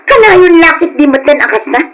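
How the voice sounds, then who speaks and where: A woman's voice: extremely high pitch, expressive, normal speed. A woman playing a weary, nagging young wife in old-style radio drama, complaining and pleading. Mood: exasperated.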